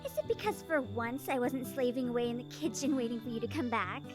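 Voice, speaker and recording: A woman's voice: fairly high pitch, fast, close to an everyday voice. A woman voicing a teasing, cheeky teenage little sister in an anime-style visual novel game. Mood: mischievous. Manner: playful, sassy. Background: game music.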